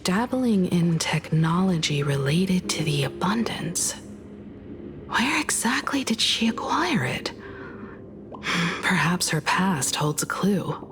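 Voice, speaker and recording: An actress playing a composed, polite, analytical investigator in a video game, speaking in a measured, formal way. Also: female voice, fairly low pitch, expressive, noisy background. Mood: pensive.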